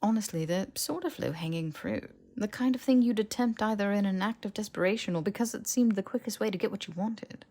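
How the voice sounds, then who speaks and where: A woman's voice: medium pitch, a little fast, hardly any pauses, darkish tone, pitched above the performer's own voice, lightly acted. A woman voicing a brainy, keen young witch in audiobook dialogue, articulate and knowing, as if explaining. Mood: eager, lecturing.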